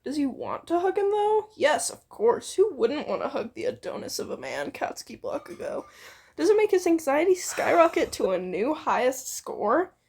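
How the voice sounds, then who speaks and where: Woman, high voice, creaky fry, playful story reading, giggly, joking asides. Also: huge pitch swings, normal speed, a little mellow. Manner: giggly, breaks off to joke. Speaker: fan fiction reader and co-host. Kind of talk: playful co-read story narration with banter.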